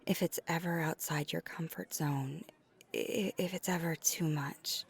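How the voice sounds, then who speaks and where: A woman playing a tender, flirty vampire in an ASMR roleplay, speaking in a breathy, often whispered voice with earnest, hesitant pauses. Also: low-pitched, steady tone, exaggerated voice. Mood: hesitant, sincere. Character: flirty, tender female vampire confessing to a date. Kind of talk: soft ASMR roleplay, intimate confession.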